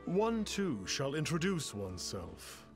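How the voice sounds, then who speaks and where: Grandiose mystic sage for a game: unhurried, stately, theatrical swells, music behind. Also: male voice, on the high side, wildly swooping pitch, exaggerated voice. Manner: pompous, stately, archaic phrasing. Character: pompous self-styled immortal master, lofty, theatrical man. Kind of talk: video game voice acting.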